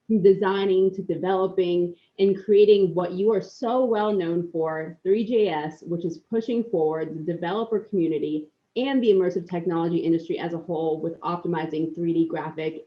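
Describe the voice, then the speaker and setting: A woman's voice: on the low side, dull audio. A woman interviewing a guest, asking polite, upbeat questions. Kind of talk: red carpet interview.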